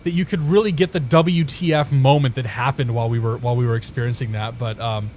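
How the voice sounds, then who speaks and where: A man's voice: mid-range voice, steady tone, quick pace, darkish tone, a little hiss or hum. A young man from Indiana, a live streamer, chatting casually with viewers and telling a story in a rambling way, with many restarts.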